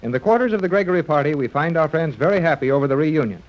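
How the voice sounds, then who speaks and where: A male announcer delivers scripted narration for a 1930s adventure serial. His voice sits fairly high, and the vintage recording is dull and lo-fi. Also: lively intonation, racing.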